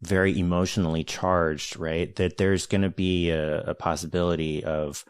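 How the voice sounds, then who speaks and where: Deep-voiced man, measured and searching. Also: flat delivery, clean tone, no echo, clean studio sound. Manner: measured, searching, many restarts and hedges. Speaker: podcast host, interviewer. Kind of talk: thoughtful conversational interview.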